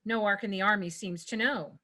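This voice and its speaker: Woman narrator, bright forceful tone, medium pitch, scripted stage-direction reading. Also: brisk. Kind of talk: scripted narration at a table read.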